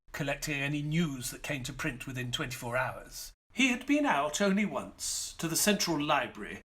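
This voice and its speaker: An actor playing a loyal, doubtful, long-suffering male companion and narrator in an audio play, measured and literate. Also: mid-range voice, fairly bright tone, husky. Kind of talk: audio play acting and first-person narration.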